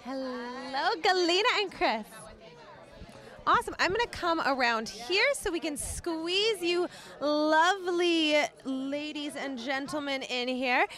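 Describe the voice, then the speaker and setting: A woman's voice: high-pitched, animated, slow pace, fairly bright tone, a faint crowd. An upbeat, effusive woman interviewing guests as a chatty red carpet host.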